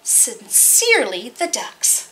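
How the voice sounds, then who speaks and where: A woman reading out a note from bored ducks who want a diving board, in a bright, slow voice. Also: a little high, huge pitch swings, pitched below the performer's own voice, heavily acted. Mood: matter-of-fact.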